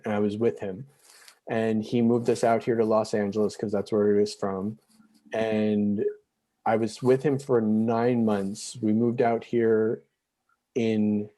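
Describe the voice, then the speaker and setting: Mellow-voiced man in his forties. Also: lowish voice. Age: 40s. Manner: halting, frequent restarts and fillers. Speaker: interview guest. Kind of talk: candid personal storytelling.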